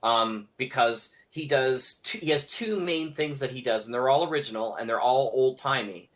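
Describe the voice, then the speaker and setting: A man's voice: mid-range voice, normal speed, a bit bright. A man with a mid-range voice talks as a podcast guest in loose, conversational style. He is emphatic, often repeating a key word to drive it home.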